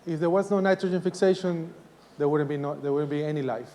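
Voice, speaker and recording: Guy from ecuador teaching a class, kinda high voice, throat sore so he keeps it quiet, lots of uh and restarts. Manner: halting, many restarts and fillers. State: sore throat, speaking softly. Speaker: professor giving a lecture. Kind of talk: university lecture.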